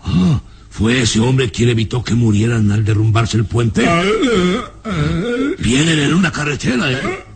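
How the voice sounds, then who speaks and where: An actor playing a sinister, irritable baron, imperious and contemptuous, in an old-time radio serial with music behind. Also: male voice, medium pitch, expressive, measured pace, pauses often, background noise. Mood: suspicious, scheming.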